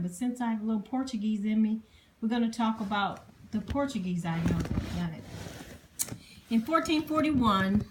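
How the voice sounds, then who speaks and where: A woman presenting history informally, reading from notes in a halting way and spelling out difficult words. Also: on the high side, poor audio. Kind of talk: informal lecture reading dated notes aloud.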